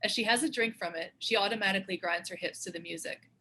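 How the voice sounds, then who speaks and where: A woman's voice: medium pitch, fast, sharp tone, slight background noise, low-quality recording. A woman reading stage directions as scripted narration for a screenplay table read.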